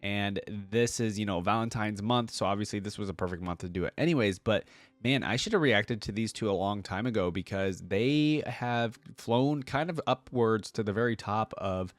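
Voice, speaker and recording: A man's voice: on the low side, normal speed, no echo. A man hosting a reaction video, giving casual, conversational commentary that rambles along.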